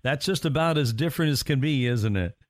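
A man's voice: mid-range voice, expressive, fairly quick, dry recording. Man hosting an interview, dry close sound. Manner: earnest, affirming. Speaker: interview host. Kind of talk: casual interview chat.

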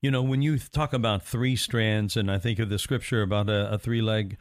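A man's voice: lowish voice, fairly even intonation, fairly quick, no room sound, clean studio sound. A man hosting a faith-centered interview, earnest and affirming as he asks questions. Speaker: interview host. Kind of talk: casual interview chat.

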